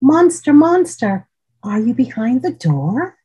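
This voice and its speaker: Female reader playing a scared small girl from a picture book, raised pitch, breathy, calling out into her room. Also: sing-song, warm tone, heavily acted. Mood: nervous, pleading. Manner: calling out in drawn-out, repeated questions. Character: frightened little girl calling out for a monster.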